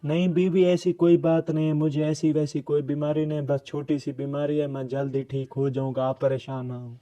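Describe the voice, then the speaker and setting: A man's voice: on the high side, flat delivery, normal speed, dark tone, close to an everyday voice. A man playing a pleading, exasperated husband and father in a cartoon. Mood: reassuring. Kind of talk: cartoon dialogue.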